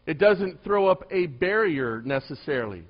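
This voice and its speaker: Man preaching, soft voice, slightly high, middle-aged, dull lo-fi recording. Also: animated, normal speed. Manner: storytelling, emphatic swings from loud to hushed. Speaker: pastor. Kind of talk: sermon.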